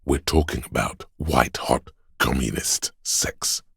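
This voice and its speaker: Very deep, husky seductive tempter. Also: male voice, a bit bright, no echo, clean studio sound, exaggerated voice. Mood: sly, enticing. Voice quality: husky, gravelly bass. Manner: slow, coaxing, savoring words, mocking. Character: inner voice of addiction, seductive, hedonistic, goading male tempter. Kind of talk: dramatic second-person game narration.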